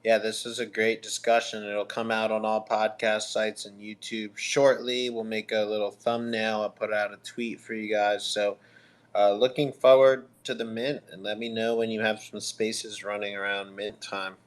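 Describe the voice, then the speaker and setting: A man's voice: fairly low pitch, little pitch movement, normal speed. A man hosting a casual live online radio show and podcast.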